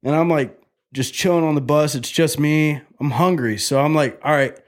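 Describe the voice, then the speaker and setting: A man's voice: on the high side, moderate pace. A man in his twenties hosting a podcast, telling a long story in a loose, joking way and swearing freely. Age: 20s. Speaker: podcast host. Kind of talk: casual chat.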